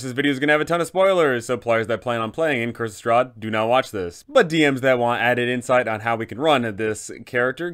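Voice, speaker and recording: A man's voice: medium pitch, a bit bright, clear, resonant tone. A man in his thirties hosting an explanatory guide for game masters, narrating with emphatic, dramatic storytelling. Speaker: host, game master advisor.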